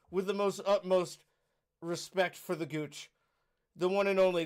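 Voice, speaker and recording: A slightly drunk man hosting a podcast, crude and boisterous, introducing his co-host like a showman. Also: high voice. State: a bit drunk. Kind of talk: casual chat.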